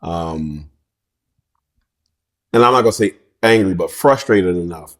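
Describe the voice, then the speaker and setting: A middle-aged man with a somewhat low voice talks in casual podcast chat. He is relaxed and colloquial, pressing his points with emphasis. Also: unhurried. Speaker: podcast co-host.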